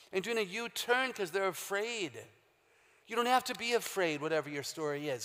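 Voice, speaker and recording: A woman with a very low, deep voice giving an emphatic, humorous faith talk as a guest speaker, quizzing a live audience in a large hall. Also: fairly bright tone. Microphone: handheld or stage microphone. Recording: long hall reverb, live stage talk.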